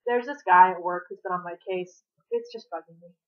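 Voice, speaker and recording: A woman reading the part of a grumpy, guarded man who speaks in a terse, flat mutter. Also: lowish voice, a little fast, lo-fi sound, lightly acted. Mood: curt, withdrawn. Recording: thin, band-limited home recording.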